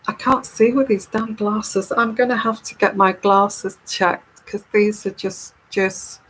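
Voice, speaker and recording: Slow, calm-voiced woman. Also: medium pitch, husky, rough recording. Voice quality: calming, soothing voice. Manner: unhurried, chatty and rambling. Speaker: tarot reader and live-stream host. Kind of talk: casual live-stream talk reading viewer comments.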